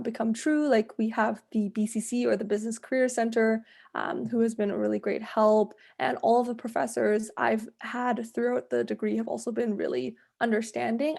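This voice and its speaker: A woman's voice: a little high, creaky, a little mellow. A woman in her twenties answering casually as a student panellist, conversational and peppering her sentences with filler words. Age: 20s. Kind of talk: casual webinar interview answers.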